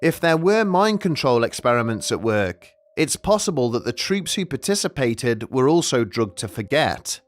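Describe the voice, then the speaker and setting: A man's voice: medium pitch, very animated, normal speed, few pauses. Man narrating a mystery documentary over music. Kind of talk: scripted documentary storytelling narration. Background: background music.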